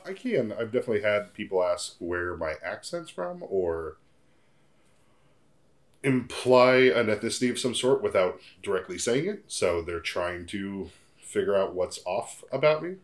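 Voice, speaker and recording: Deep-voiced American man in his thirties. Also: sing-song, unhurried. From Portugal and United States. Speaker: interviewee. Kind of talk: reflective personal interview. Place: indoor living room, seated in armchair.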